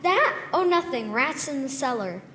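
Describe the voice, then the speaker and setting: A woman's voice: high voice, big pitch swings, moderate pace, a bit bright. A woman voicing a sly, folksy old woman in a cartoon, with a rural drawl and singsong phrasing. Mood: sly, sugary. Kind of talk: cartoon voice acting. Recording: echoey hall with faint noise.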